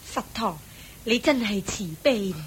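A woman's voice: mid-range voice, a little slow, noisy background, lightly acted. An actress playing a courteous, admiring woman of the household in a radio play. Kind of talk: Buddhist radio drama acting.

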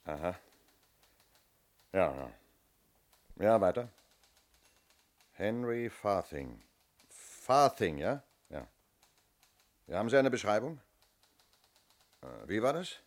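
An actor playing a businesslike police inspector in a 1970s German radio crime play, asking clipped, matter-of-fact questions. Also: male voice, on the low side, big pitch swings, slow pace, pauses often. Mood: brisk, businesslike.

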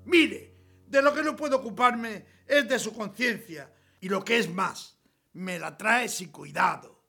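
A man's voice: sits high, measured pace, vocal fry, bright, forceful tone, breathy edge, soft background music, exaggerated voice. A man playing an arrogant, contemptuous army general in an audio drama, sneering, mocking and commanding. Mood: cold, dismissive.

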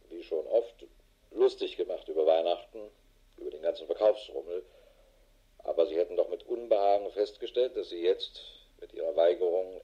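An actor playing a harried, middle-aged police investigator in a radio drama, matter-of-fact and dry. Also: male voice, low-pitched, dark tone, husky, highs cut off. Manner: matter-of-fact, dry, clipped official phrasing. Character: harried, world-weary male police investigator with a wife. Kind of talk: German radio drama acting, dictated report.

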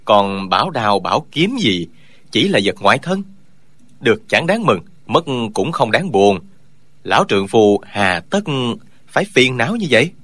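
A man's voice: on the low side, big pitch swings, normal speed, fairly bright tone, no echo. An actor voicing an upright young swordsman who speaks earnestly and lectures the old man in an audiobook. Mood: lecturing. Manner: earnest, lecturing, sometimes mocking. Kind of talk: audiobook character dialogue.

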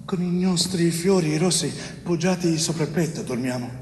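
An actor playing the weary, solemn ghosts of slain policemen on stage, repeating words like an incantation. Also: male voice, on the high side, normal speed, darkish tone, slight background noise, pitched below the performer's own voice, natural voice. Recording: reverberant echoing hall.

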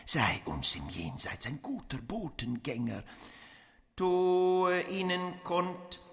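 A bossy, crude character in a radio play, with a fairly high male voice. He declaims slowly and theatrically, like an officiant at a mock-solemn rite. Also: sing-song, fairly bright tone, heavily acted. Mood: solemn, imploring. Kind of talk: German radio play acting.

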